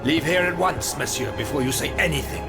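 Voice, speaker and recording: A man's voice: fairly high pitch, a little fast, lightly acted. A man playing a smug, menacing courtier in a video game drama, sneering with formal politeness. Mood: mocking menace. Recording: loud music over the voice.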